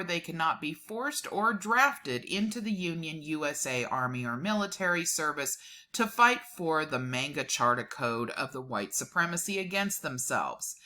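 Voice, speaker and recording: Low, bright-voiced woman reading aloud. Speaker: host reading a document aloud. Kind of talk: dramatic reading with informal asides.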